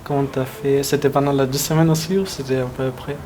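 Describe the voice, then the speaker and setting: A man's voice: mid-range voice, steady tone, quick pace. A man interviewing a filmmaker, informal, asking short questions and nodding along. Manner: informal, brief prompts and backchannels. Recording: room with ambient noise.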